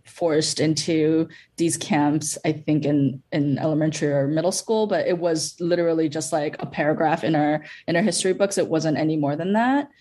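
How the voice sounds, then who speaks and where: A woman producer from Bolivia who grew up in Los Angeles talks in a podcast interview. Her voice is low and her speech is casual, full of fillers and "like". She sounds relaxed in conversation. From Bolivia, raised in Los Angeles. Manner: casual, filler-heavy, frequent "like". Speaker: film producer.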